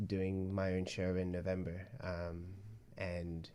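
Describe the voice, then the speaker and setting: A man's voice: deep voice, completely flat delivery, slow, dark tone, clean tone. A man from Australia being interviewed casually, speaking in a modest, thoughtful, hesitant way as he works out his answers. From Australia, grew up in Brisbane. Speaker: rapper and poet, interview guest.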